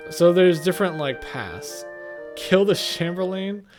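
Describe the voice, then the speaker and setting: A man's voice: sits high, expressive, measured pace, over music. A man giving casual, offhand and mildly sardonic commentary as host of a video game playthrough.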